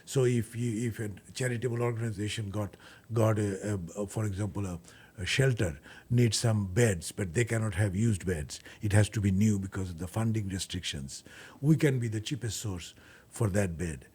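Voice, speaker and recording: A man with a Bangladeshi accent being interviewed, explaining his work earnestly and haltingly, often repeating words. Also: fairly low pitch, a little mellow, slightly rough. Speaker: guest, charity furniture bank leader.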